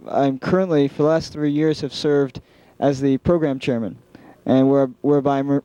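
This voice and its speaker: Man, middle-aged, American accent, mellow tone, earnest halting delivery, unscripted interview, dry recording. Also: mid-range voice, no room sound, highs cut off. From San Francisco Bay Area, United States. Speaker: program chairman of an old-time radio club.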